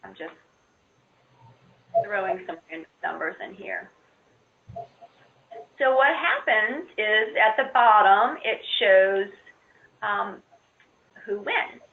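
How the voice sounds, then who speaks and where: A woman presenting on an instructional conference call, explaining things informally and somewhat haltingly. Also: medium pitch, lively intonation, slight background noise. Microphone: conference call line. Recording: muffled narrow-band conference phone line.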